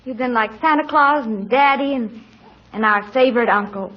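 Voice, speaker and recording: On a dull, muffled 1940s radio recording, an actress plays a young niece speaks in a high, soft-edged breathy voice. She is sweet, tender and affectionate. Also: moderate pace, close to an everyday voice. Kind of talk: 1940s radio comedy acting.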